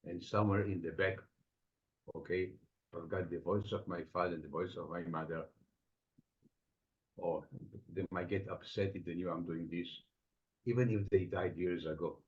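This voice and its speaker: A man with an Estonian accent, a psychotherapist answering interview questions thoughtfully, with many pauses. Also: low-pitched, fairly even intonation. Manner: reflective, explains with everyday examples, frequent pauses. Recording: dull lo-fi sound.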